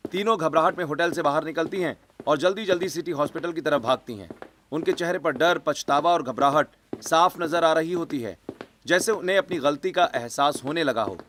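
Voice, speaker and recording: A man's voice: on the high side, normal speed, fairly bright tone, slight background noise. A man reading scripted, dramatic story narration.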